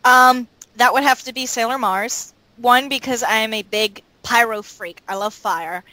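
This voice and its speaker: A woman chatting casually in a group cast interview, blunt and animated in the way she talks. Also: a little high, unhurried, dry recording, rough recording. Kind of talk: casual chat.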